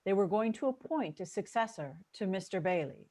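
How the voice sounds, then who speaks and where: Woman playing a patient storytelling narrator, medium pitch. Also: expressive, brisk, darkish tone, natural voice. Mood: patient, explanatory. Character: patient heavenly narrator instructing an angel. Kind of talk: scripted radio drama narration.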